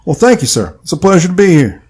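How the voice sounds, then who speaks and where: A man's voice: high-pitched, very animated, fast, a little mellow, slightly rough, heavily acted. A man playing an earnest, modest American marksman in an adventure audio drama. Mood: polite.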